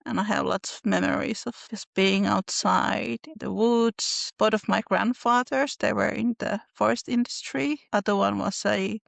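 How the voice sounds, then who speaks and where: A woman with a Finnish accent talks over a video call as a podcast guest. Her voice has a gravelly texture, and the sound is dry and close. She answers thoughtfully, with frequent fillers and restarts. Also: medium pitch, no room sound. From northern Finland. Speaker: podcast guest, illustrator and folklorist. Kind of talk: casual interview answers.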